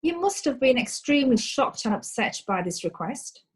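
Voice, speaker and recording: Brisk courtroom lawyer female actor, comic stage-style drama; formal questioning, slightly raised pitch. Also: big pitch swings, breathy edge, full character voice. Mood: formal, probing. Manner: formal, inquisitive. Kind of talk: comic courtroom play acting.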